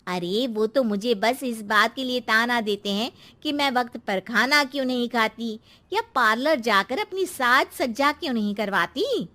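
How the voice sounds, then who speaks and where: Chatty neighbour housewife played by a woman, very high voice, frank, cartoon. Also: expressive, unhurried, sharp tone. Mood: chatty, boastful. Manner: frank, advising. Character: outspoken, chatty middle-aged housewife neighbour.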